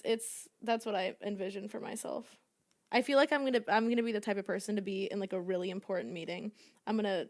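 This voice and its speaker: A woman in her twenties hosting a casual podcast chat, rambling and laughing often. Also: medium pitch. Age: 20s. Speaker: podcast host.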